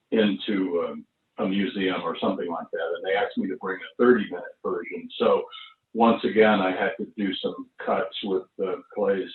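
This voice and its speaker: A man in his seventies, an actor casually reminiscing in an anecdotal, chuckling storytelling way. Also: on the low side. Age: 70s. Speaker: actor recalling a stage show. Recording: roomy, distant, tinny phone-like call audio.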